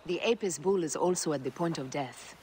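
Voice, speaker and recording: An actress playing a composed, caring and direct Egyptian wife in a video game. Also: female voice, low-pitched, fairly quick, darkish tone, close to an everyday voice. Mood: purposeful. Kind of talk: video game cutscene dialogue. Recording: faint noise and ambience. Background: faint ambient sounds.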